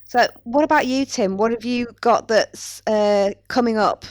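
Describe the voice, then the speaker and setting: A woman's voice: a little high, unhurried, no echo, clean studio sound. A woman hosting a podcast in a casual, articulate, playful chat. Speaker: podcast host.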